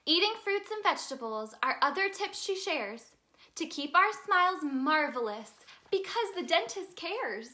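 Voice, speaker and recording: Bright, high-voiced woman reading children's stories. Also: unhurried. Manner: expressive, sing-song delivery for young children. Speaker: children's storybook reader, in a tooth fairy persona. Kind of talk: read-aloud children's story narration.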